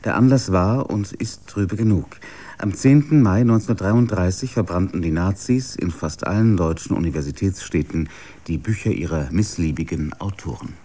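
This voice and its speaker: A man's voice: sits low, normal speed, mellow. A man giving a scripted introduction as a radio announcer.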